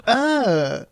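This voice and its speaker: A young man co-hosting a podcast, laid-back and laughing, often saying dude. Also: on the high side. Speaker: podcast co-host. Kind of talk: casual chat.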